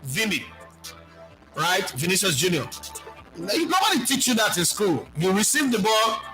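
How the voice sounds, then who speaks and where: A Nigerian man speaking as a football pundit, answering viewer questions in an animated, preachy way and mixing in pidgin. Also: high-pitched, unhurried, music in the background. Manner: animated, preachy, lists players, mixes in pidgin.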